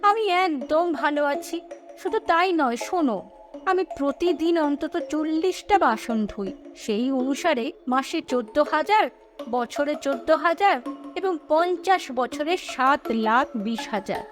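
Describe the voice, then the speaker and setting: A woman's voice: sits high, animated, measured pace, music in the background. A woman voicing a clever, fretful village wife in a cartoon story, reasoning in a plaintive, emphatic way.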